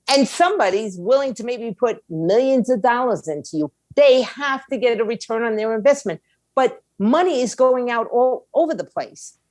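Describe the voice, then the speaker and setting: A woman's voice: fairly high pitch, darkish tone, no room sound. A woman giving opinionated interview answers in a blunt, emphatic way, with slangy phrasing and rhetorical questions. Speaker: music industry attorney and indie label owner, interview guest.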